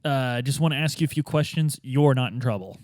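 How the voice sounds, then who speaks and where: A man's voice: mid-range voice, brisk, a little mellow, no room sound, natural voice. A man playing a hesitant, well-meaning police detective who fumbles informally through his questions in a tabletop roleplay. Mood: awkward, polite.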